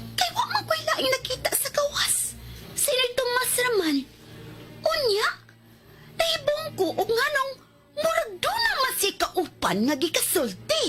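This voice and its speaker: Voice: extremely high pitch, sing-song, unhurried, loud music behind the voice, heavily acted. An actress playing an anxious, puzzled young woman in a radio drama. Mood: puzzled, uneasy. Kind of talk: radio drama acting in Cebuano.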